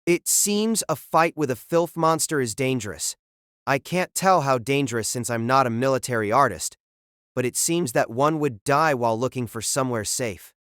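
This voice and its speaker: A man playing an authoritative young student council president in an audio drama, speaking in a formal, measured way. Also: medium pitch, fairly bright tone, dry recording, clean studio sound, natural voice. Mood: grave, firm.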